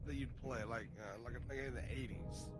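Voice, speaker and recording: A male voice actor, a little hoarse, chatting casually and joking about himself. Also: medium pitch, moderate pace, music in the background. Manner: animated, jokey, self-deprecating. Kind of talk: casual chat on a call.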